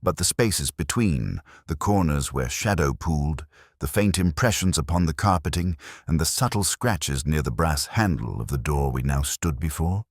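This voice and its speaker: A man's voice: rumbling low, lively intonation, moderate pace, no echo, studio quality. A man reading a Victorian detective story as scripted dramatic narration. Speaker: audiobook narrator.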